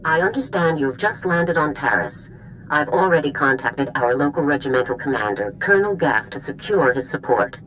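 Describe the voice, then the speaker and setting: A no-nonsense general on comms, low deep voice but very expressive, faint music under. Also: female voice, moderate pace, slight background noise, low-quality recording. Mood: commanding. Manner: crisp, authoritative briefing. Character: stern, commanding female army general. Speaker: general giving a briefing.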